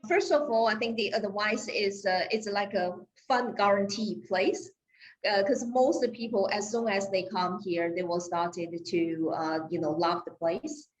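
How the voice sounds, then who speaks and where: A woman with a mid-pitched voice speaks as a bank president. Her answers are halting, full of fillers and restarts. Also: fairly even intonation, poor audio. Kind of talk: interview answers.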